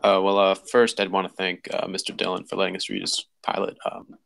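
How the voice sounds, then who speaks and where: A man giving informal script notes in a halting, stop-start way, often correcting himself. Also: low voice, monotone, creaky, fairly bright tone. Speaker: table read participant giving script feedback. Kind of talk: informal spoken critique. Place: remote online voice call.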